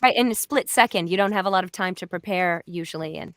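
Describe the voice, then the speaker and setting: A woman's voice: lowish voice, fast, a bit bright, no room sound, studio quality. A woman voice actor talking casually about her work on a panel, recorded at home.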